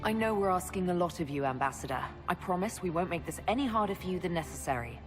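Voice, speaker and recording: A woman's voice: on the low side, animated, fast, natural voice. A woman playing a self-assured, wry military leader in a video game, with a dry, decisive delivery. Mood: reassuring, resolved. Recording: soft music behind.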